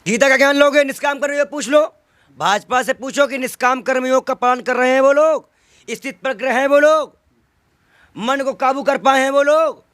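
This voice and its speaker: A man's voice: extremely high pitch, normal speed, a bit bright, no echo, clean studio sound. A man in a heated political interview, arguing emphatically with rhetorical questions and repeated phrases. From North India.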